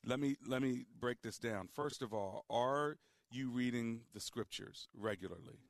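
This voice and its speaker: A man hosting a call-in radio show, speaking calmly and deliberately as he guides a caller. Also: medium pitch, vocal fry, no room sound, studio quality. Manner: calm, deliberate, guiding. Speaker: radio talk show host. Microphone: studio microphone.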